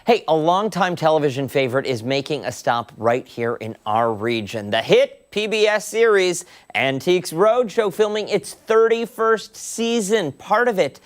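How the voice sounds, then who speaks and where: Lively news anchor reading a story. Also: mid-range voice, normal speed, hardly any pauses. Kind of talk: scripted news read.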